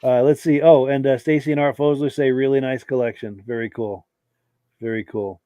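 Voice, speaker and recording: A man hosting a live podcast who has a cold and sounds hoarse, reading out viewer comments with short, easygoing reactions. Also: medium pitch, moderate pace, no room sound, studio quality. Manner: relaxed, reads comments aloud with brief reactions. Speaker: podcast host.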